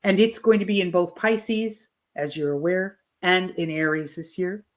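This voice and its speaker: A woman's voice: fairly low pitch, normal speed. Woman astrologer losing her voice. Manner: explanatory, with frequent restarts and fillers. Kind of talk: live online astrology talk. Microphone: video call.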